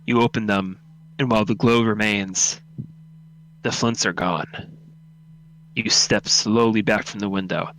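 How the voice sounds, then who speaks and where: A man's voice: fairly low pitch, fairly even intonation, a little slow, long pauses, fairly bright tone, slight background noise, rough recording. A man narrating a second-person horror story as a live radio drama read-through.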